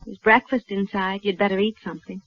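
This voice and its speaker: An actress playing a steady, determined frontier doctor in a 1950s western radio drama, speaking firmly and directly. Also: female voice, medium pitch, brisk, close to an everyday voice. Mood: calm, firm. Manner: firm, composed, direct. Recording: dull, noisy old radio recording.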